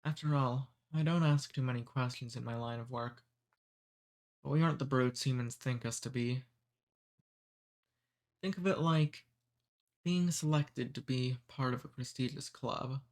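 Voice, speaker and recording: A man voicing a friendly, centuries-old male demon in an ASMR roleplay. He talks calmly and teases gently. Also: medium pitch, a little slow, long pauses, natural voice. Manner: calm, friendly, gently teasing.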